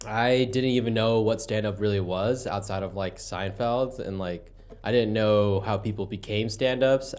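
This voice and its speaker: A man's voice: fairly low pitch, a little mellow. A young American man, a comedian guest, chatting about his life in an easygoing, rambling way. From United States. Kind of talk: casual podcast chat. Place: podcast studio.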